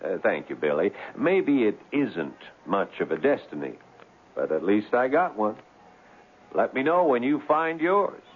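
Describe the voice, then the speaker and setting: Cocky street-smart crook, animated pitch swings, radio drama, thin band-limited sound. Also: male voice, unhurried, breathy edge, phone-quality sound, heavily acted. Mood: teasing, philosophical. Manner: streetwise, slangy, ungrammatical. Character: cocky, slangy, self-assured dandy safecracker, a man. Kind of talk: old-time radio drama acting. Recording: band-limited old radio broadcast, faint music.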